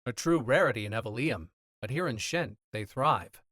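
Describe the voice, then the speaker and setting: A man voicing a reserved, protective knight who speaks in a low, measured way. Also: animated, fairly bright tone, no echo, clean studio sound. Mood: grave.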